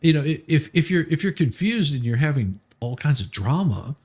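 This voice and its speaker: A man's voice: on the high side, big pitch swings, moderate pace, a little mellow, husky. A man streaming casual, jokey chat in a rambling way, often repeating his words as he goes. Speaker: live streamer.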